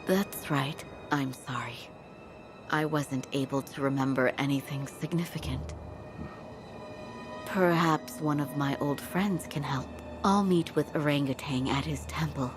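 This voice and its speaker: A woman voicing a calm, compassionate but troubled woman in a game cutscene, soft-spoken, polite and earnest. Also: deep voice, measured pace, over music. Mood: apologetic, reserved. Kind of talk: dramatic game cutscene acting.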